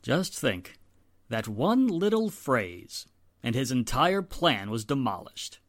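Earnest police inspector played by a man, mid-range voice, very expressive, dry studio sound, vintage radio crime drama. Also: mid-range voice, slow pace, no room sound. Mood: disgusted, impressed. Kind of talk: 1940s-style radio drama acting.

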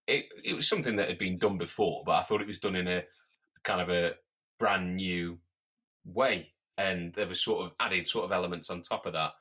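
Homem britânico de voz muito grave e brilhante. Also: male voice, low voice, moderate pace, breathy edge. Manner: animated, puts on silly voices when quoting people. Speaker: podcast co-host. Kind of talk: casual chat.

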